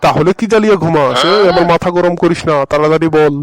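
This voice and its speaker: A man's voice: on the high side, quick pace, no room sound, close to an everyday voice. An actor playing an easily exasperated male schoolteacher in a comedy cartoon, scolding his pupils. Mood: grumbling. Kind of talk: cartoon voice acting.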